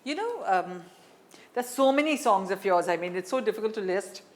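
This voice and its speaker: A woman's voice: mid-range voice, expressive, normal speed. A woman with an Indian accent hosting a conversational interview, phrasing her questions hesitantly. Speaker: interview host.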